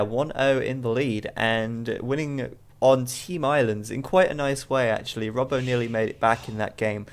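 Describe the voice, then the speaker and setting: A young man with a cold and a stuffy nose, tired late at night, casting a strategy game match in rambling commentary full of fillers. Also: medium pitch. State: bunged up with a cold. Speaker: game caster.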